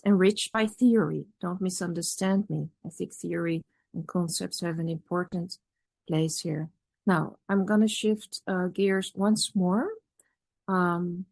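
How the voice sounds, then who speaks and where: A woman whose first language is Dutch, giving a measured, reflective academic talk at a conference with pauses and restarts. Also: mid-range voice, darkish tone, no room sound. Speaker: conference speaker.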